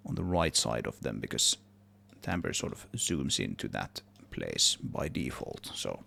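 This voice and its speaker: A man giving a casual software tutorial, thinking aloud, correcting himself and trailing off as he works. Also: very deep voice, big pitch swings, a little slow, gravelly, breathy edge. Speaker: tutorial presenter, game audio developer.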